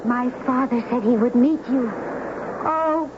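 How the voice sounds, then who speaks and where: High-voiced young sea maiden, noisy old radio. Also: female voice, a little slow, highs cut off. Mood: urgent, pleading. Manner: earnest, pleading. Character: mysterious young sea maiden in love, gentle and yearning. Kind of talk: 1970s radio drama acting. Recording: noisy, band-limited 1970s radio broadcast recording.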